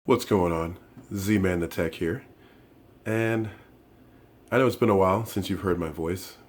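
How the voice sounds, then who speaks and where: A man with a low, deep voice that sounds hoarse and worn from illness. He talks through a gadget unboxing in a loose, rambling way, full of ums and restarts, like a channel host chatting to his viewers. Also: measured pace. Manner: rambling, lots of fillers and restarts. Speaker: tech reviewer and channel host. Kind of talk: casual unboxing and review talk.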